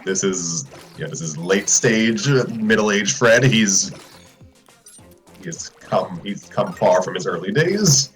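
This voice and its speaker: A man's voice: fairly low pitch, lively intonation, slow pace, a bit bright. A man chatting casually in a group, explaining trivia in a matter-of-fact way. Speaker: show regular discussing cartoon lore. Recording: music playing behind the voice. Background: music.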